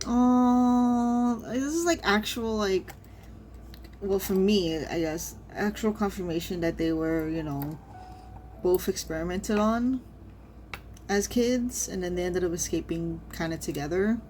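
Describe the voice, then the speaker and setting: Woman, mid-pitched, game reaction streamer, reads story text aloud, casual expressive commentary, faint background noise. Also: measured pace, poor audio.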